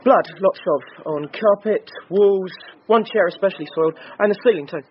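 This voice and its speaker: An actor playing an eager, blundering detective sergeant in a radio drama, matter-of-fact and clumsily jokey. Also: male voice, sits high, normal speed, close to an everyday voice. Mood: eager, chatty. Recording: dull radio drama recording with noise.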